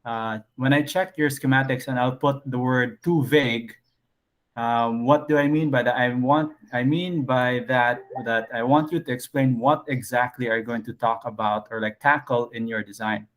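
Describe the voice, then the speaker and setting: Male teacher, mid-pitched, casual online lecture with lots of fillers. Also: steady tone, moderate pace. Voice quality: low voice. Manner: casual, thinks aloud with frequent fillers.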